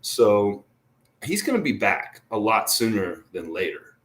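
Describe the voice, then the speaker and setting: A man's voice: lowish voice, big pitch swings, unhurried, fairly bright tone. A man giving casual, unscripted sports commentary as an enthusiastic fan, rambling and repeating himself for emphasis. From Canada.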